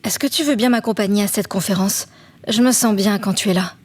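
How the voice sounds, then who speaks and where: A woman's voice: mid-range voice, a little fast, natural voice. A woman playing an earnest, affectionate young science student in a naturalistic fiction podcast.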